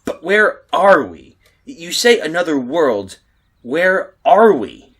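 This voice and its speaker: A bewildered family man trapped in a nightmare, in old-time radio drama style. His delivery swings widely in pitch, and his husky voice stammers and pleads as panic takes hold. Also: very animated, slow, a bit bright, heavily acted. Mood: alarmed.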